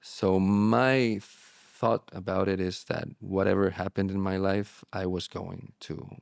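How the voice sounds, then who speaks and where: A low, gravelly male voice, close and dry with no room around it. He speaks English as a second language, with Spanish his first, and talks in a reflective, halting way, circling back and restarting his thoughts. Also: level tone, no room sound. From born in Morocco, raised abroad. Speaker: guest, relapse prevention therapist. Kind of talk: candid interview talk.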